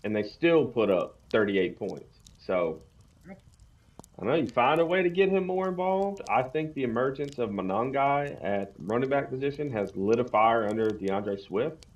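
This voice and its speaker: A man's voice: mid-range voice, animated, normal speed, muffled recording. A man co-hosting a podcast, chatting casually about sports in a colloquial, opinionated way. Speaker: podcast co-host.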